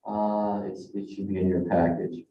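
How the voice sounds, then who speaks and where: A man presenting a project to a zoning board in a halting, informal way with many fillers. He is tired and losing his voice. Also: low voice, flat delivery, soft background music. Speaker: developer's representative presenting a project. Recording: dull, echoey council chamber audio, mic at a distance.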